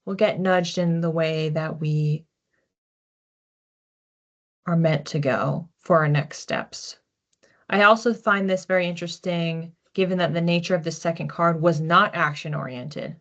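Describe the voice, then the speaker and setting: A woman hosting an informal psychic card reading in a conversational, reflective way, with animated asides. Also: fairly low pitch, a little slow, muffled recording. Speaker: psychic card reader, host.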